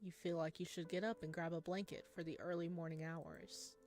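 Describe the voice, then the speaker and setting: A woman's voice: lowish voice, flat delivery, a little fast, a little mellow. Woman reading a game aloud over music. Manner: giggly, informal asides between read narration. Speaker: gamer playing and reading a visual novel aloud. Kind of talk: game playthrough, reading aloud with chatty reactions. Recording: music behind the voice.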